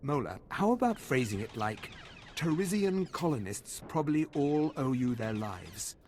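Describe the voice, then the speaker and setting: A man's voice: mid-range voice, very animated, normal speed, darkish tone, close to an everyday voice. A man playing a worried, exasperated scientist in a video game, grave and pointed. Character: worried, exasperated male professor of xenozoology. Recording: noisy game ambience.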